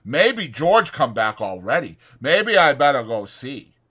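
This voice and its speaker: A man's voice: mid-range voice, sing-song, a little slow, a bit bright, pitched above the performer's own voice. A man voicing a big, simple-minded, stubborn ranch man who grumbles in simple, childlike rural speech for an audiobook. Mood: frightened, miserable. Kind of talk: dramatized audiobook character acting.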